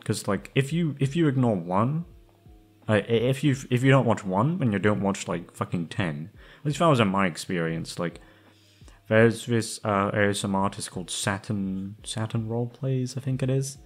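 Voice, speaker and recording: A man's voice: lowish voice, animated, moderate pace. A man chatting casually and rambling to his listeners in an informal, sweary, self-deprecating way. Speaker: ASMR roleplay creator. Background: background music.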